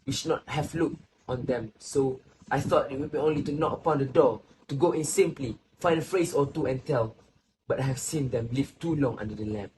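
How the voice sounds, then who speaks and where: A man playing a sorrowful, reflective old grandfather in a stage play, speaking in a slow, solemn, literary way. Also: mid-range voice, close to an everyday voice. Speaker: student actor. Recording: faint background noise.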